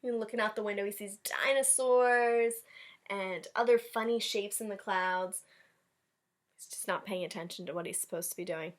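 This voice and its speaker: A woman's voice: mid-range voice, normal speed. A woman teacher leading a read-aloud storytime, chatting directly to young children and commenting as she goes. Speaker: elementary school teacher.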